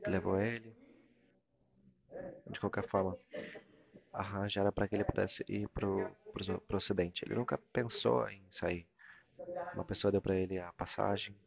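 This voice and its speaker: A man with a Brazilian Portuguese accent gives a live interpretation of a morning religious talk, halting and repeating phrases as he searches for words. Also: fairly low pitch, creaky, dull audio. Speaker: interpreter of a spiritual discourse.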